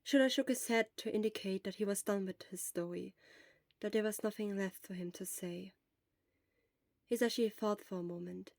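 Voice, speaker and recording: A woman's voice: mid-range voice, normal speed, gravelly, a little mellow, dry recording, studio quality. A woman reading scripted fiction aloud as the narrator of an audio story. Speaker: podfic narrator.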